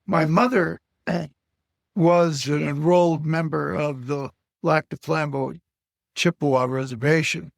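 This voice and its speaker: An older man from Alaska answering interview questions slowly, pausing often with fillers and short of breath. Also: fairly high pitch, fairly even intonation, vocal fry, no echo, studio quality. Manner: halting, with many pauses and fillers. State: short of breath, on supplemental oxygen. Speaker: guest. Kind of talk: slow reflective interview answers.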